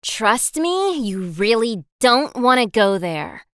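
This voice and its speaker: A woman plays a spirited young cartoon princess, blunt and sassy. Her voice is high and very animated, with an unhurried pace and a dry, close sound. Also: clean tone, dry recording. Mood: exasperated. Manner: brisk, sassy, exasperated. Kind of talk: fan comic dub voice acting.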